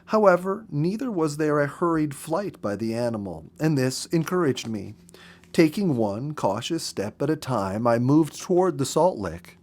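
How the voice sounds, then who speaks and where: A man narrates a story in a medium-pitched voice. His delivery is expressive and deliberate, shaped for dramatic storytelling. He reads as a practised story reader would, with careful phrasing.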